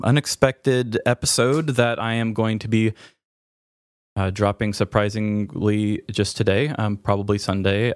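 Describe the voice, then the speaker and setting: A man hosting a podcast, talking candidly and personally in a hesitant, halting way that often interrupts itself. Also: fairly low pitch, smooth, no echo, clean studio sound. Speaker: podcast host.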